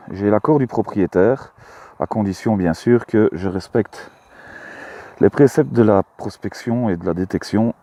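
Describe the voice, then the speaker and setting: A man's voice: lowish voice, mellow. A man with a Belgian French accent, chatting casually outdoors in a field with many hesitant fillers. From Belgium, Luxembourg province. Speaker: metal-detecting vlogger. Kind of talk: casual outdoor talk to camera. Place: outdoors in a mown meadow.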